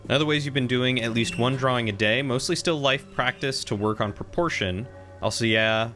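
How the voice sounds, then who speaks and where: Casting: male streamer, thirties, bright mid-pitched voice, casual gaming chat. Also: fairly quick, faint music behind. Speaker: game streamer.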